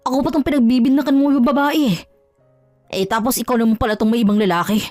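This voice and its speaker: A woman's voice: on the high side, fairly even intonation, quick pace, darkish tone, no echo, faint music behind, natural voice. A woman voicing a betrayed husband who is bitter, angry and scornful, in melodramatic audio drama style. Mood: bitter accusing. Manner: accusing, scornful.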